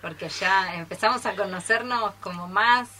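Mujer argentina de voz brillante. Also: female voice, medium pitch, moderate pace, pushed and bright, slight background noise. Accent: Argentine Spanish. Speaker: theatre workshop teacher. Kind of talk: casual radio chat. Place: radio studio, live broadcast.

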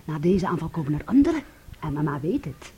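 An actress in a Dutch radio play playing a bitter, possessive, jealous sister with an intense, accusing manner. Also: female voice, deep voice, very animated, fairly quick, dark tone. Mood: venomous. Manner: intense, accusing, shifting between whispers and outbursts. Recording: noisy old radio play recording.

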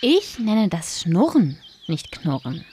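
A woman's voice: fairly low pitch, sing-song, slow pace, mellow, a little hiss or hum. A woman playing a curious, polite and slightly hesitant young girl in a fairy-tale audio drama. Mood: sceptical.

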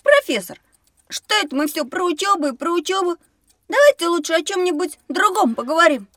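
A woman's voice: very high-pitched, animated, brisk, a bit bright, no echo, studio quality. A woman voicing a cheeky young schoolboy who dislikes studying, childlike and grumbling, in a children's audio drama.

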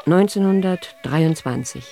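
A man's voice: a little high, unhurried, gravelly, dark tone. A man announcing dates and setting scenes as a scripted radio drama narrator. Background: faint music.

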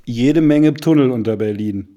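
An actor playing a sober man who states things in a measured, declarative way in a radio play. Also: medium pitch, lo-fi sound, background noise, close to an everyday voice.

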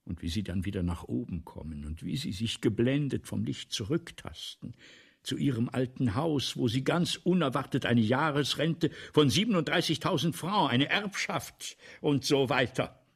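A man acting in a German radio play as a grandiose, excitable playwright, pompous and theatrical, moving between whispers and outbursts. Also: medium pitch, normal speed, creaky, slightly rough. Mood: scheming, storytelling. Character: grandiose, excitable male playwright scheming a lurid melodrama.

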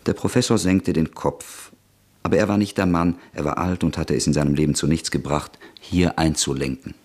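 A man narrating an old radio drama in a measured, sober tone. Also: very deep voice, steady tone, darkish tone, slightly rough.

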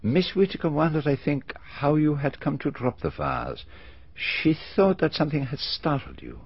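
An actor playing a fastidious detective, asking formal, polite questions in a radio drama. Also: male voice, on the high side, very animated, gravelly, husky, no echo, heavily acted. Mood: courteous. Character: fastidious middle-aged male detective, probing. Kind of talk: BBC radio drama acting. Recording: dull archival radio recording.

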